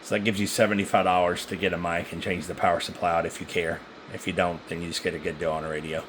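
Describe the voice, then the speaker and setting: Male, low voice, off-the-cuff seller demo, hesitant and meandering, handheld phone recording with clear background noise. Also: level tone, quick pace, a bit bright. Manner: rambling, hesitant, self-correcting. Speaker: seller demonstrating used radio gear. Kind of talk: casual unscripted product demo.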